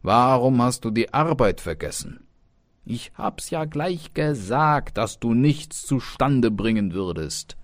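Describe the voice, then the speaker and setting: An irascible old peasant father from a fairy tale, as a male narrator plays him. He scolds loudly and scornfully, with indignant emphasis, his pitch raised and swinging widely. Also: animated, drawn-out words, few pauses, dry recording. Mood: angry, scolding.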